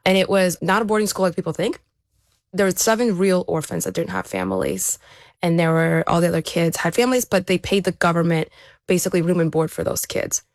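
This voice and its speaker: A woman's voice: lowish voice, lively intonation, a little fast, dry recording. A woman who is an athlete, giving a reflective personal account as an interview guest. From born in Ukraine, raised there to age seven, then America.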